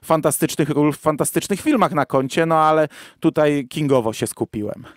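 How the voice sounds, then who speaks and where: Male podcast host, somewhat high voice, conversational and explanatory, very dry recording. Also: moderate pace, dry recording. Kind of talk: conversational podcast discussion of audio drama. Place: virtual studio, remote podcast recording.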